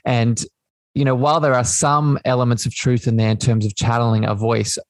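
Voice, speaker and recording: A man talks as the host of an interview podcast, with a medium-pitched voice and very little room sound. He is earnest and affirming, explaining at length and repeating phrases to stress them. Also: level tone, a little fast, no echo.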